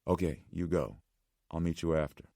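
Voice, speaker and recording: A man's voice: extremely low pitch, moderate pace, gravelly, mellow, dry recording, clean studio sound. A man voicing an earnest, inquisitive woman in an audiobook, direct and questioning. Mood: concerned. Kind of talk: audiobook character voice.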